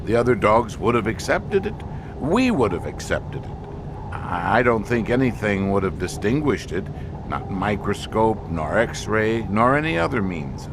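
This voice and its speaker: Actor as a grave, low-voiced scientist, wide-ranging delivery. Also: male voice, sing-song, a little slow, background noise. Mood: grim, foreboding. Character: grave male expedition scientist explaining an alien menace. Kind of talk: audio drama acting.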